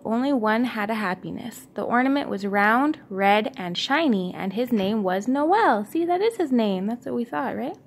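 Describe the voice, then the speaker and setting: A woman with a fairly high voice reads a children's storybook aloud to a little one. She is encouraging, and the home recording has faint background noise. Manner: encouraging, asks the child questions. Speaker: mother reading to her young son.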